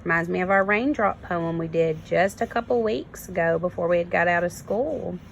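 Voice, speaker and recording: A woman, a kindergarten teacher, reading a storybook aloud and pausing to explain it simply to young children. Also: fairly low pitch, vocal fry, slight background noise, low-quality recording.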